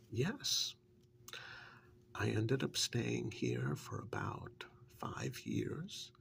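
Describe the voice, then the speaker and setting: A man voicing a witch's loyal, plain-spoken assistant in a soft-spoken ASMR role-play, half-whispering and telling his story in a halting, matter-of-fact way. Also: mid-range voice, a little hiss or hum, exaggerated voice. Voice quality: soft, breathy half-whisper with gravelly fry.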